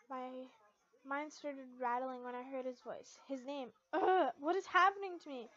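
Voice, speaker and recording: A young woman narrating fan fiction and chatting casually to her channel's followers. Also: high voice. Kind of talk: expressive first-person story narration and casual vlog outro. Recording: dull lo-fi home recording.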